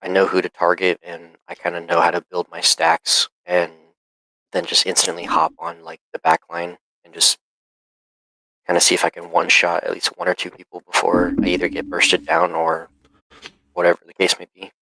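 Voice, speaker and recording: A man's voice: sits low, monotone, normal speed, gravelly, pushed and bright, no room sound, poor audio. A man on a call, a little sick and coughing, describing his play in a hesitant, filler-heavy way. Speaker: student player. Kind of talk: casual call conversation.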